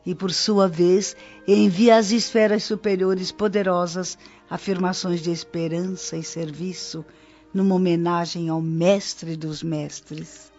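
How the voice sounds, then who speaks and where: A devoted woman guide from a spirit colony in a Brazilian radio drama. Her voice sits in the middle-to-low range, with reverent, articulate explanations in a Brazilian accent. The sound is slightly dull and lo-fi, with music playing behind her. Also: steady tone, slow pace, a little mellow. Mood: admiring, devout.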